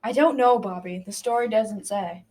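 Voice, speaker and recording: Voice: medium pitch, normal speed, darkish tone, natural voice. A young student voicing a kindly old grandfather who tells folktales in an amateur radio drama. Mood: gentle, affectionate. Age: about 12 to 14.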